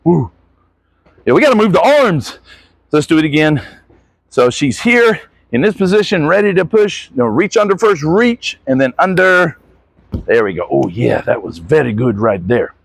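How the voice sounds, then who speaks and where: A man's voice: on the high side, very animated, moderate pace, gravelly, no echo. A man coaching gymnastics, giving hands-on instruction with short encouraging corrections as he demonstrates. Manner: encouraging, corrective asides to athlete.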